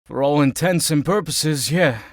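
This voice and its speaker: An earnest teenage hero, played by a man, with a fairly high male voice. He speaks in a guarded, halting way, like natural dialogue in an audio drama. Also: no room sound, studio quality, lightly acted. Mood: pained.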